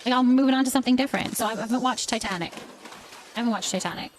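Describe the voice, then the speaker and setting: Chatty higher-voiced woman live streamer. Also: racing, slight background noise. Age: middle-aged. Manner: chatty, informal, effusive thanks to viewers. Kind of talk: casual live stream chat, reading and answering viewer comments.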